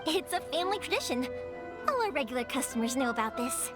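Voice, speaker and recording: A woman's voice: sits high, big pitch swings, fast talker. An actress voicing a cheerful young woman who makes fireworks in a game cutscene, caring and reassuring as she speaks. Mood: upbeat. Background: game music.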